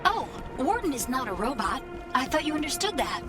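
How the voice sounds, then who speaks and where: Chipper machine guide, high-pitched. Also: female voice, big pitch swings, fairly quick, far from the mic, over loud music. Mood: calm, informative. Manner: formal, precise, cheerfully earnest. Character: chipper, curious female machine guide, polite and naive. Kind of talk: video game character acting.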